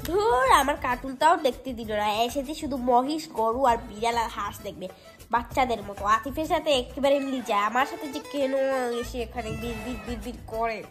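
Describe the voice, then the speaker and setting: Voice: mid-range voice, lively intonation, brisk, fairly bright tone, reverberant, close to an everyday voice. A performer voicing a bossy, scornful young girl in a children's cartoon, scolding and threatening in a haughty way over music. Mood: grumbling. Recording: music behind the voice.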